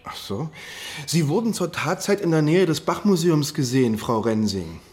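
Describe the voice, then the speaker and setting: A man's voice: on the high side, expressive, normal speed, darkish tone, natural voice. A man playing a nosy, dogged amateur investigator in a radio drama, with a blunt, insistent, probing manner. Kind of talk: naturalistic radio drama acting.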